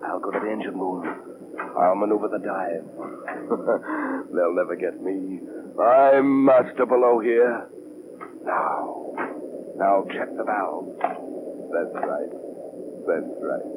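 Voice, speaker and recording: An actor playing a ruthless, arrogant pirate submarine commander in a theatrical 1940s radio drama style, gloating and barking orders. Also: male voice, sits low, slow, pauses often. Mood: muttering, gloating. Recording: noisy old radio recording.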